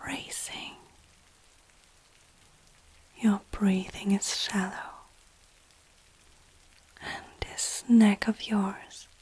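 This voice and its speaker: A woman voicing a powerful, teasing female vampire noble in an ASMR roleplay, speaking softly and often close to a whisper, with an aristocratic, self-assured manner. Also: medium pitch, heavily acted, rough recording. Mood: menacing, seductive. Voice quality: soft, breathy, often near whisper. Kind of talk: ASMR roleplay addressed to the listener.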